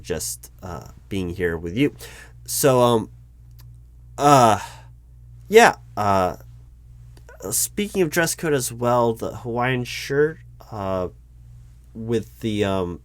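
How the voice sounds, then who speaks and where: Male, hesitant corporate interviewer; low, unhurried delivery, polite, full of ums. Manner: polite, awkward, stiffly corporate. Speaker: recruiting manager in a roleplay. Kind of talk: one-sided job interview roleplay.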